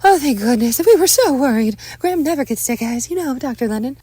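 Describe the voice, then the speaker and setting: A woman's voice: sits high, expressive, fairly quick, dark tone, husky, no echo, clean studio sound, voice pushed up. A woman voicing an anxious, doting mother who is sugary, controlling and insistent in a dramatic script reading. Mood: relieved. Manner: doting, insistent, emotionally intense.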